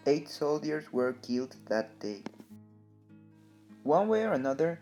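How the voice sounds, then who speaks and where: Mellow guy w a reading history narration, guitar music behind him. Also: mid-range voice. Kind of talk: scripted narration of a student-style history newsreel. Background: guitar music under the voice.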